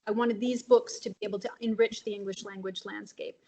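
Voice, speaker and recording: A woman's voice: mid-range voice, steady tone, brisk, mellow. A woman translator answering on a webinar panel over a video call, reflective and thinking aloud. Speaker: translator and publisher.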